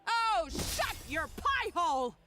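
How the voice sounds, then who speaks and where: An actress playing an unhinged, spiteful game villainess with a theatrical, taunting delivery. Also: female voice, extremely high pitch, sing-song, takes it slowly, heavily acted. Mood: spiteful, gloating. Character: unhinged, spiteful woman villain, gleefully vengeful. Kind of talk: video game voice acting. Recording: game music behind the voice.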